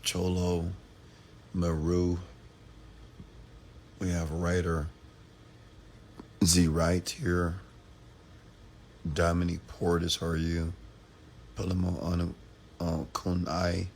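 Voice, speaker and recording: A hoarse man from Texas talks late at night on a livestream, his voice very low with a gravelly fry. He repeats himself and calls out viewers by name in a motivational tone. A faint hiss sits under the recording. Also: monotone, takes it slowly, pauses often, darkish tone. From Texas, USA. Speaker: entrepreneur hosting a live stream.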